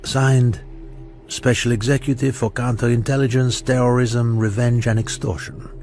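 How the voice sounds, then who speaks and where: A man playing a menacing criminal voice reading out a formal ransom letter in a radio drama, deliberate and emphatic. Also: medium pitch, level tone, darkish tone, soft background music, lightly acted. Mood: cold, menacing.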